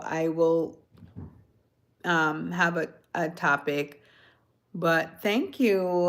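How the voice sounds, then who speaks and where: A woman from Jamaica chatting on a phone livestream, answering viewers' comments in a soft-spoken, sometimes hoarse voice. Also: fairly low pitch. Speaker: livestream host. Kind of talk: casual livestream chat with viewers.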